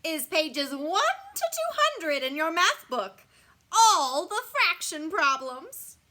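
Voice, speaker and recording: Storybook monster schoolteacher, played by a woman: gleefully cruel, theatrical, bright and forceful, wildly animated, drawing words out. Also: high-pitched, voice pushed up, full character voice. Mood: gleeful menace. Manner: drawn-out, mock-sweet, theatrical menace.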